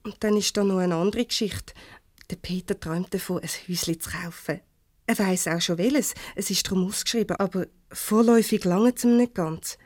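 A woman playing a warm-hearted, defensive divorcee in a Swiss German radio comedy, conversational and a little hesitant. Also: mid-range voice, brisk, no echo, studio quality, natural voice. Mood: earnest. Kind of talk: naturalistic 1970s radio comedy acting.

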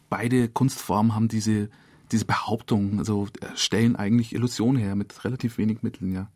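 A man's voice: on the low side, mellow, dry recording. A male radio drama director explaining his work in a studio interview, in a hesitant, searching way.

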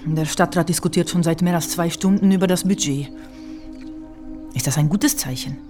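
A woman's voice: on the low side, normal speed, music in the background, lightly acted. An actress playing an outspoken, loyal woman musician in a radio drama, with blunt, colloquial delivery. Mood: concerned. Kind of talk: naturalistic radio drama acting.

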